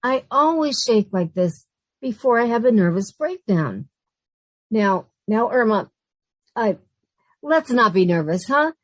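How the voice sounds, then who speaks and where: A woman's voice: a little high, sing-song, a little slow, long pauses, no room sound. A woman playing an anxious, sardonic young roommate who also narrates, in an old-time radio sitcom style. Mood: nervous, exasperated. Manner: wry, long-suffering, nervous.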